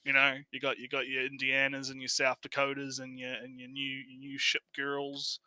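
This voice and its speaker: A man's voice: medium pitch, completely flat delivery, normal speed, sharp tone, no room sound, poor audio. A man co-hosting a livestream with casual banter, rambling and often repeating his phrases. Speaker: livestream co-host.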